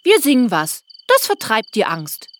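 A woman's voice: a little high, huge pitch swings, unhurried. A woman voicing a brave, determined young girl, childlike and earnest, in a children's fairy-tale audio play.

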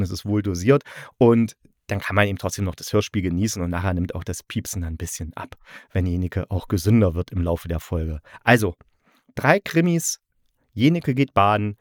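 A man's voice: mid-range voice, darkish tone, no room sound, studio quality. A man hosting a podcast, giving a casual, chatty and enthusiastic review in colloquial language. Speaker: podcast host and radio play reviewer.

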